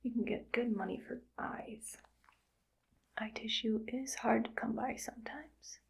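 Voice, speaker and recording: A woman voicing a callous female mad scientist in an ASMR roleplay, whispering softly in a slow, coldly matter-of-fact way. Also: fairly high pitch, steady tone, gravelly, husky, heavily acted. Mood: cold musing. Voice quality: soft whisper. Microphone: close sensitive mic.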